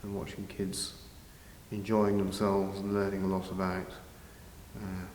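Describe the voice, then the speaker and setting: An older man answering interview questions informally, in a measured, halting way with pauses. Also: deep voice, monotone, darkish tone. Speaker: interviewee, village pantomime organiser. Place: large village hall, indoors. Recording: very echoey hall, faint noise.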